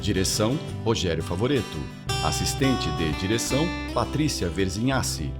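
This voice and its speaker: A man's voice: low voice, expressive, moderate pace. A man working as an announcer, reading scripted closing credits for a radio drama. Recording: roomy echo under clear guitar music.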